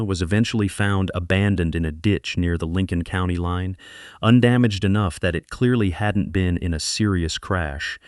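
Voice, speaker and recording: A man narrating a scripted true-crime documentary in measured, dramatic short phrases. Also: low voice, clean tone, dry recording.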